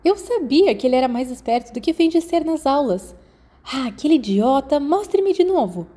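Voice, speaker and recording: A Brazilian woman voicing a curious, excitable teenage schoolgirl in an audiobook. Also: sits high, moderate pace, pitched above the performer's own voice. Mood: excited, amazed. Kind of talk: audiobook character dialogue.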